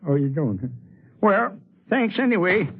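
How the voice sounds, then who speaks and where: Very high, dithering befuddled passerby. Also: male voice, sing-song, slightly rough, full character voice. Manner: dithering, hesitant, drawn-out. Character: befuddled, meek, footsore male passerby, polite. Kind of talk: 1940s radio character acting. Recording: muffled old radio recording.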